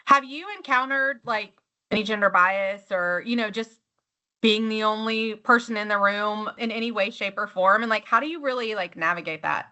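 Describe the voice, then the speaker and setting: A woman's voice: mid-range voice, moderate pace, sharp tone, muffled recording. A woman hosting an interview podcast, chatty and enthusiastic, with many fillers and restarts. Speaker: podcast host. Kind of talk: casual interview chat.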